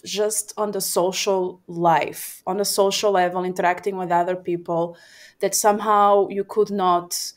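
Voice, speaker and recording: A woman's voice: lowish voice, level tone, measured pace. A woman from Portugal, co-hosting a casual conversation. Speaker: podcast co-host.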